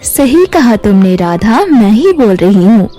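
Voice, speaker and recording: A solemn magical river spirit from a cartoon fairy tale, voiced by a woman, speaks with measured calm. The voice sits lower and assures the listener with grave authority, with faint music behind it. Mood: solemn, assuring.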